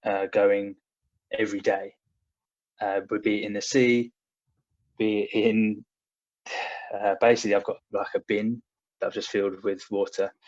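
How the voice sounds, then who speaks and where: Low flat-voiced man, lo-fi sound. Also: unhurried, long pauses. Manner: hesitant, many fillers and restarts. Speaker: podcast guest, personal trainer. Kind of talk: casual conversational storytelling. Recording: dull lo-fi audio.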